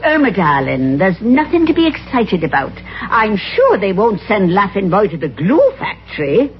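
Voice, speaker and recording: A woman's voice: mid-range voice, huge pitch swings, normal speed. An actress in a 1950s radio sitcom playing a bossy, talkative older Irish landlady who talks in a fussy, self-important way. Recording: dull lo-fi 1950s radio broadcast with hiss. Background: studio audience laughter.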